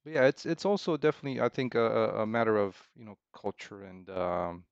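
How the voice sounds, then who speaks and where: A man chatting casually and unscripted as he answers questions, rambling haltingly and circling back over his phrases. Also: fairly low pitch, gravelly, clean tone, no room sound, highs cut off. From Romania. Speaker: game developer streaming.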